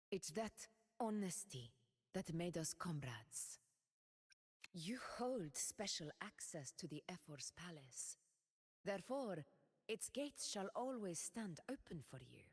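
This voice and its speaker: A solemn regal warrior ruler. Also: female voice, lowish voice, lively intonation, takes it slowly, husky, big-room sound, exaggerated voice. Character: proud noble woman ruler, steadfast warrior. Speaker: game character voice lines. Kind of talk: game voice lines.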